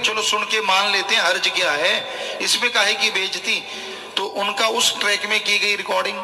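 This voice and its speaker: A man's voice: high voice, bright, forceful tone. A man preaching a colloquial religious sermon in an admonishing, conversational way. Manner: admonishing, conversational, acts out short imagined dialogues. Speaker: spiritual preacher. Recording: echoey hall with long reverb.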